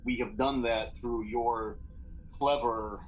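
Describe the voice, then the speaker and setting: A man speaks in a middling pitch as he plays a tabletop game. His talk is casual and conversational. There is light noise in the recording. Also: fairly even intonation, slow pace, poor audio.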